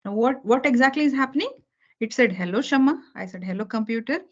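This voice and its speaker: A woman's voice: on the high side, lively intonation, fast talker. A woman teaching a beginner programming lesson over a video call, patient and repetitive, checking understanding after almost every sentence. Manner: patient, repeats key points, checks understanding with okay and right. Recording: dull lo-fi call audio.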